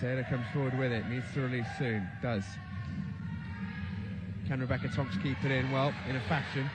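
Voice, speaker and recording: A man giving live play-by-play commentary on a futsal match, excitable and rising to shouts at the big chances. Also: medium pitch, normal speed, loud music behind the voice.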